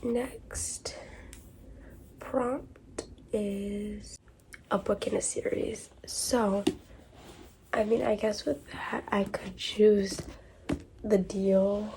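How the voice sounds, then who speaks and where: A woman's voice: medium pitch, very slow, vocal fry, pauses often. Congested woman chatting about books, noisy. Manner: rambling, filler-heavy, thinking aloud. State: sick and congested. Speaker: book vlogger. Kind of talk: casual chatty vlog. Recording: steady background noise.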